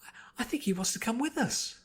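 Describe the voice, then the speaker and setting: A man voicing a gentle, tender young female pony with a soft, breathy, hesitant delivery. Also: extremely high pitch, animated, brisk, voice pushed up, full character voice. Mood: dreamy, shy.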